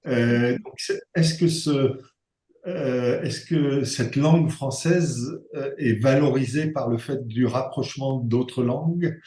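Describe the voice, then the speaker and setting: A man's voice: mid-range voice, slow pace, mellow. Man moderating in a roomy hall. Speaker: panel moderator. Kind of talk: live panel discussion. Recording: roomy echo from the venue.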